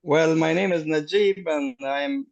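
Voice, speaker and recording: A middle-aged man, a guest on a video call, telling his story haltingly and searching for words. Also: on the high side, sing-song, vocal fry, muffled recording. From Afghanistan. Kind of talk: casual personal story.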